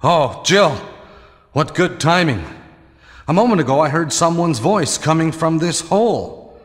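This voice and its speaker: Brief: burly, kindly commando buddy, fairly high voice, wildly sing-song stilted reads, retro game. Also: male voice, expressive, a little slow. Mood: protective. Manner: stilted, exaggerated swooping delivery. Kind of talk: 1990s video game voice acting. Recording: long hollow reverb.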